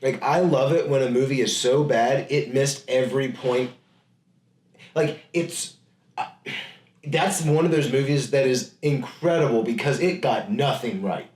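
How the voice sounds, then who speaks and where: A man's voice: mid-range voice, measured pace, darkish tone. Man chatting casually in an echoey room. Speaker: podcast co-host. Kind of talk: casual comedic chat about movies.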